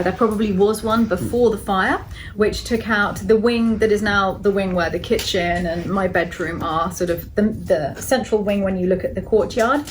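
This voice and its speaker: A woman, hosting a relaxed group Q&A and explaining things at length. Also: medium pitch, a little hiss or hum, poor audio. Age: 40s. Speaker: chateau owner and host. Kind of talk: casual group Q&A chat.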